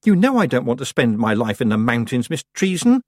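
A man voicing an earnest young girl apprentice witch who protests quickly. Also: mid-range voice, very animated, darkish tone, no echo, clean studio sound.

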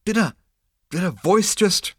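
A man's voice: sits high, lively intonation, measured pace, a bit bright, husky, no echo, clean studio sound, pitched above the performer's own voice, full character voice. A man voicing a nervous, bewildered male space adventurer in an audiobook, with a breathless, urgent, stammering delivery.

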